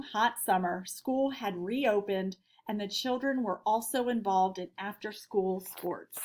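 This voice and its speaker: An American woman teacher giving an instructional read-aloud for children, putting simple questions to her pupils. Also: medium pitch. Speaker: elementary school teacher.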